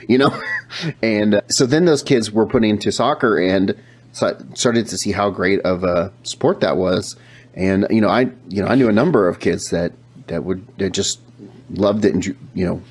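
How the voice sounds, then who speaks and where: An American man with a fairly low, husky voice hosts a podcast. He talks in a loose, conversational interview style over a video call. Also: darkish tone. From United States. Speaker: podcast host.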